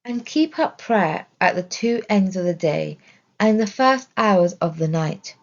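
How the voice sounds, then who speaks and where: Woman with creaky voice reading religious lesson. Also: medium pitch, animated, lo-fi sound. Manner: measured, deliberate reading. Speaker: reader of religious study text. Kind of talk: scripted narration, religious lesson.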